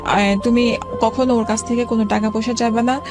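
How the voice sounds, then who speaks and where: A woman in her forties, a guest telling her own life story in an interview, speaking haltingly with many pauses and restarts. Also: fairly high pitch, little pitch movement. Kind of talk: emotional personal account in an interview. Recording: background music under the talk.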